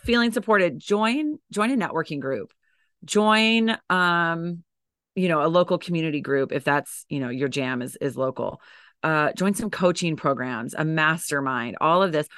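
A woman's voice: deep voice, a bit bright, smooth, no room sound, clean studio sound. A woman with a scratchy, tired voice from teaching, chatting as a podcast guest with lots of fillers and restarts. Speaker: podcast guest, dance educator and coach. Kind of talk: casual interview chat.

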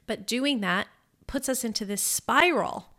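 A woman's voice: a little high, a little slow, a bit bright. A young adult woman hosting a money podcast as a financial coach, talking in a casual, upbeat coaching tone with a congested, slightly groggy voice and frequent "right?" check-ins. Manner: upbeat, conversational, often asks "right?".

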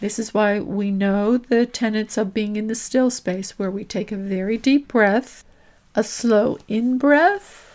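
A woman career coach and host delivering an instructional monologue, laying out her points deliberately and with emphasis. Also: mid-range voice, darkish tone.

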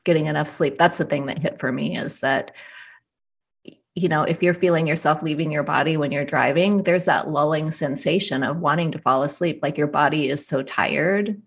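Woman with a really low voice, calm and thoughtful, giving advice. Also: creaky, breathy edge. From US desert region. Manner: thoughtful, unhurried, reassuring. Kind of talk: casual advice conversation.

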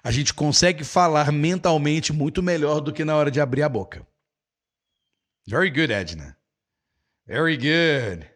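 A man's voice: on the high side, expressive, a bit bright. A middle-aged Brazilian man with a Brazilian Portuguese accent, chatting casually as an English teacher who answers viewers' comments. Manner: chatty, with many restarts and quick asides.

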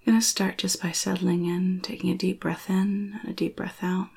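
A woman guides a spiritual meditation in a breathy near-whisper. Her voice is husky with a frequent soft creak, and her intonation stays very even. She speaks soothingly, leaving long pauses between short phrases. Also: on the low side.

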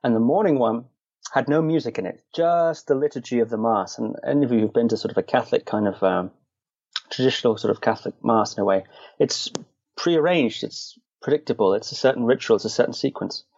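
A man from the Shetland Islands speaks as an interview guest in a mid-pitched voice. He is dryly funny and conversational, often hedging and qualifying as he goes. The sound is close and dry, though slightly dull and lo-fi. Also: brisk, darkish tone, breathy edge, no echo. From Shetland Islands, Scotland. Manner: dry, wry humour. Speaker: interview guest, meditation and martial arts practitioner.

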